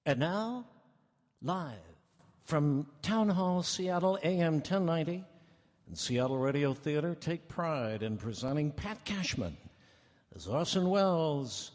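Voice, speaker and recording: A man's voice: on the high side, animated, takes it slowly, a little mellow. A man playing a grand 1930s radio announcer with dramatic, declamatory emphasis. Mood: grand, proclaiming. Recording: echoey town hall stage. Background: faint live music.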